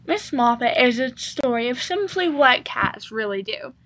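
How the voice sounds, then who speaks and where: A woman's voice: on the high side, expressive, unhurried, over loud music. A female host presenting a children's story podcast, addressing her listeners. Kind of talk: scripted children's podcast talk.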